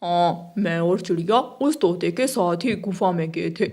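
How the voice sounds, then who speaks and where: Cartoon male crow, woman performer, sly and gossipy, pitched down a little, slow delivery. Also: a little mellow. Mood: admiring. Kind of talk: Hindi cartoon story voice acting.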